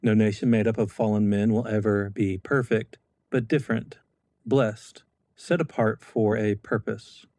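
A middle-aged American man, a former Marine and legislator, giving a measured, solemn scripted speech. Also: on the low side, fairly even intonation, warm tone, dry recording. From United States.

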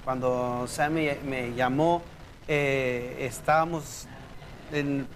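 A man's voice: mid-range voice, steady tone, drawn-out words, slight background noise. A man from South Texas, a church music director, chatting and telling stories. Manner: warm storytelling, with frequent restarts. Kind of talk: casual interview chat.

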